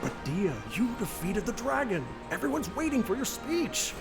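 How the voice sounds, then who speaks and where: A woman's voice: fairly high pitch, big pitch swings, moderate pace. An actress voicing an earnest little girl playing at heroes with theatrical flair in a video game. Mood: coaxing. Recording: game music behind the voice.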